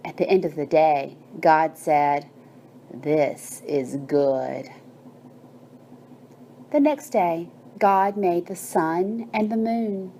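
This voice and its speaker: A woman narrating a bedtime story and guided meditation in a soothing, measured manner with drawn-out phrases. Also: medium pitch, warm tone, slight background noise.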